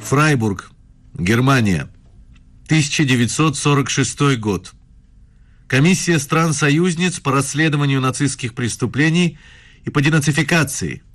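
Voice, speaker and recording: Male documentary-style narrator, medium pitch. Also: lively intonation, measured pace, a bit bright. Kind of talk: scripted scene-setting narration.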